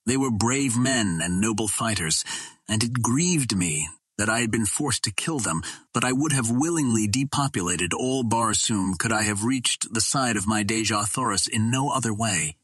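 A man reading an adventure novel aloud as scripted dramatic narration, in a measured, literary storytelling manner. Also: fairly low pitch, lively intonation, fairly bright tone, breathy edge, no echo. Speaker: audiobook narrator.

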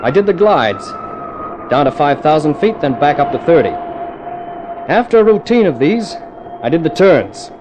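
A man's voice: fairly high pitch, big pitch swings, moderate pace, pauses often, background noise. A man playing a cool, wry jet test pilot who narrates his own adventure in clipped, dramatic 1950s radio thriller style. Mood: tense, wry narration. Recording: old radio drama with music underneath.

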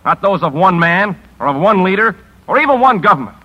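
An actor playing a principled, defiant newspaper editor in earnest, clipped 1950s radio drama style. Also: male voice, sits high, big pitch swings, a little fast, pushed and bright, lo-fi sound, exaggerated voice. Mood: impassioned. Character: crusading, defiant male newspaper editor, weary but principled. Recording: 1950s radio broadcast recording.

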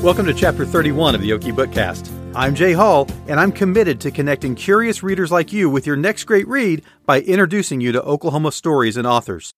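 A man's voice: on the high side, animated, brisk, hardly any pauses, over music. A man hosting a book podcast, who reads an upbeat introduction and then asks questions with enthusiasm. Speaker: podcast host. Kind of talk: scripted intro then casual interview.

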